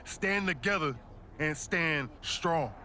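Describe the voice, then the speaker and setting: A proud, defiant pro wrestler in a game cutscene. His voice is fairly high, bright and forceful, slangy and emphatic, over faint background noise. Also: lively intonation, takes it slowly, heavily acted. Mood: rousing. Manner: slangy, emphatic motivational delivery. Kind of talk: video game cutscene dialogue.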